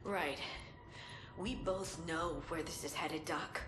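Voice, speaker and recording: An ominous imprisoned scientist, low-voiced and unhurried. She taunts with weary menace in a video game scene, in an echoey room. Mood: mocking, knowing. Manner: mocking, weary, quietly threatening. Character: defiant, ominous female scientist prisoner with psychic powers. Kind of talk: dramatic video game cutscene acting. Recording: echoey room with clear background noise.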